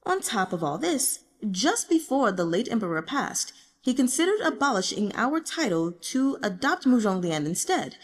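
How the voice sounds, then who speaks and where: A woman's voice: fairly high pitch, very animated, moderate pace, long reverb tail. A woman voicing a self-mocking, burdened young emperor in an audiobook, formal and solemn, with regal phrasing. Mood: bitter, weary. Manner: formal, solemn, speaks in the royal we. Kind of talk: audiobook character dialogue.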